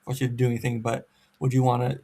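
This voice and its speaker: A man conducting an oral history interview, asking careful, gentle questions. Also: medium pitch, little pitch movement, fast talker, vocal fry, breathy edge.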